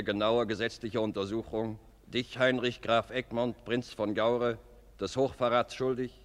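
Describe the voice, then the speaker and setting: An actor playing a stern, cold official envoy, solemnly and authoritatively pronouncing judgment in a classic German radio play. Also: male voice, fairly low pitch, lightly acted. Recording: long reverberant hall, radio drama production.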